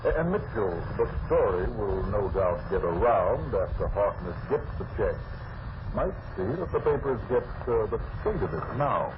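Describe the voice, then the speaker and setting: An actor playing a wealthy, scheming and uneasy bank boss in a halting, self-important way, in old radio drama style. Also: male voice, on the low side, sing-song. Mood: smoothly calculating. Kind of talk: 1940s radio drama acting. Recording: noisy, muffled old radio recording.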